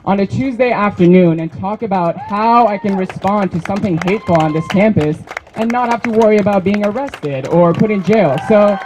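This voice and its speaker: A man's voice: high-pitched, fairly quick. Hesitant young man speaking off the cuff. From Iran. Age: young adult. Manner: hesitant, many fillers and restarts. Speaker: student rally organizer. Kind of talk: unscripted rally speech. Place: outdoor campus rally. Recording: dull lo-fi outdoor recording, faint noise.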